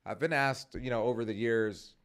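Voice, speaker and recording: A man's voice: medium pitch, moderate pace, clear, resonant tone. A man in his forties hosting his own vlog, talking casually and rambling with many fillers and restarts. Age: 40s. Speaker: vlogger and podcast host.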